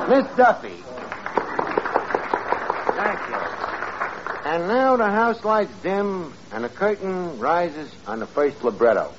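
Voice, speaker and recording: An actor in a 1940s radio comedy playing a brash, uneducated bar manager who mangles grammar and big words as he wisecracks. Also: sits high. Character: brash, uneducated wise-guy bar manager with grand pretensions. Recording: old muffled noisy radio recording, loud audience.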